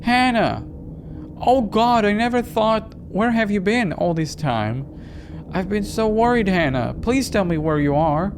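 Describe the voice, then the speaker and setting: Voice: mid-range voice, lively intonation, a little slow, background noise, voice pushed up. A performer voicing a frightened, protective young woman in a horror game, with urgent, pleading exclamations. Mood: desperate, pleading.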